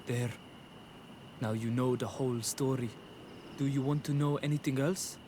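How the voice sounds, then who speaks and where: A man's voice: mid-range voice, fairly even intonation, normal speed, noisy background, natural voice. A man playing a plain-spoken, helpful young villager in a video game. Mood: helpful, frank. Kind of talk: video game dialogue acting.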